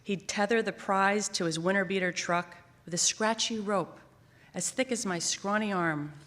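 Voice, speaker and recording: A woman writer reading a personal essay aloud at a live event, expressive and emphatic. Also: medium pitch, normal speed. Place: theater stage at a library, live event. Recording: echoing theater with long reverb.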